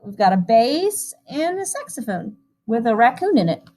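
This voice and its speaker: Woman, high voice, children's storybook read-aloud, animated teacher delivery, chatty asides. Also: moderate pace. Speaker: music teacher reading a picture book aloud.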